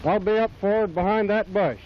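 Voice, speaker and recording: A man playing a brisk army platoon leader, giving clipped, rehearsed orders in a 1930s training film. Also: high voice, natural voice. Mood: firm, commanding. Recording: dull, hissy 1930s outdoor film sound.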